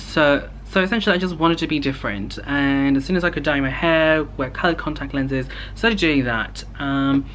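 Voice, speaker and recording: A man's voice: a little high, a bit bright. Casting: young male vlogger, chatty and informal, rambling delivery, recorded at home on a camera mic with background noise. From Hong Kong. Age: young adult. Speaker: vlogger, beauty content creator. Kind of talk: casual chatty vlog to camera. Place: indoors in a home living space. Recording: clear background room noise.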